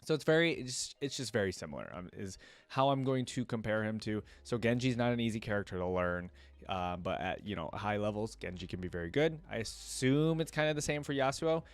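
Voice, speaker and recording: A man with a fairly low voice, recorded close and dry. He talks casually as a gaming reaction host, rambling and working out his thoughts aloud. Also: lively intonation, brisk, no echo, soft background music.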